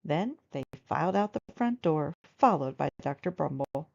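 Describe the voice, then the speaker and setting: Çok hareketli tonlamalı, çocuk kitabı okuyan kadın. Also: female voice, low-pitched, lively intonation, normal speed, creaky, darkish tone, muffled recording. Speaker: storybook reader. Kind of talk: expressive children's story narration.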